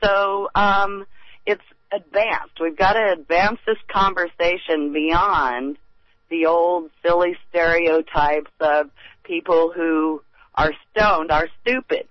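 A woman's voice: fairly low pitch, fairly even intonation, slow, dry recording, dull audio. A woman calling in to a radio talk show, earnest as she makes her case as a medicinal grower.

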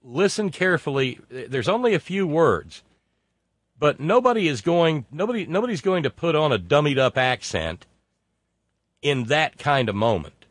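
A man's voice: fairly high pitch, lively intonation, moderate pace, no echo. A man hosting a casual live show, rambling with many fillers and restarts. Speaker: host. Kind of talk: casual livestream talk.

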